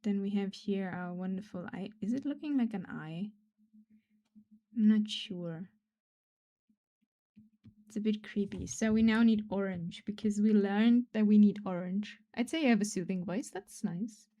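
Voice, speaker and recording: A woman of middle pitch chats casually on a live stream while she draws. She rambles and pokes fun at herself, and she often drops into soft, quiet mumbling. She speaks English, though German is her first language. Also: unhurried. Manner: rambling, self-deprecating, trails off into quiet mumbles.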